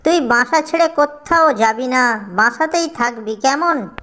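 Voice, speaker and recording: A woman voicing a gentle mother bird in a cartoon story, caring and instructive. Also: high voice, a little slow.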